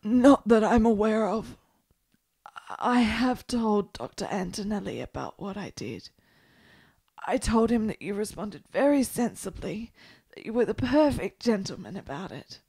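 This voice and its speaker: A woman acting a frail, ailing, melancholy gentlewoman in a period radio play, with a halting, theatrical delivery. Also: medium pitch, animated, gravelly, slightly rough, dry recording, heavily acted. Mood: subdued, bitter. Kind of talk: period radio drama dialogue.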